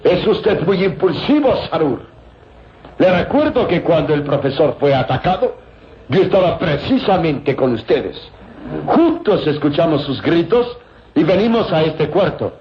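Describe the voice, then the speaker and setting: An actor playing a scornful male villain feigning innocence, haughty and deliberate, in an old radio drama. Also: fairly high pitch, big pitch swings, a little hiss or hum. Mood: indignant. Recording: roomy, dull old radio recording.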